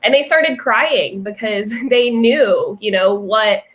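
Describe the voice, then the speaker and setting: Woman from Orlando, encouraging moderator, mid-pitched voice. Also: takes it slowly, a bit bright. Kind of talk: moderating a panel discussion.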